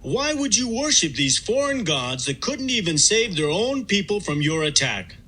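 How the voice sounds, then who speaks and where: A man's voice: high-pitched, sing-song, normal speed, bright, forceful tone, husky, heavily acted. A man playing a stern prophet of God in a dramatised audio Bible, solemnly declaiming warnings. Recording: music bed behind the voice.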